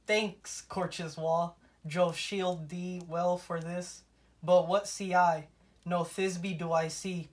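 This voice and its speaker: A woman's voice: on the low side, steady tone, slow pace. A woman voicing a pompous, melodramatic male lover in an amateur puppet show, declaiming verse hammily. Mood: ardent. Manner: hammy, declamatory. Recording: noisy home recording.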